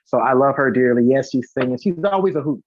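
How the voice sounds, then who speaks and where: Chatty guy guest talking really fast, effusive n rambly, kinda dull lofi sound, no room echo. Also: medium pitch, lively intonation. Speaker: guest, singer and concert organiser. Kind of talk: casual live chat, talkative promotion.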